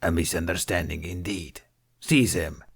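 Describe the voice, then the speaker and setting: A man's voice: deep voice, steady tone, measured pace, vocal fry, breathy edge, exaggerated voice. A man voicing a gigantic female alien slug crime lord in an audiobook, imperious and taunting. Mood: commanding.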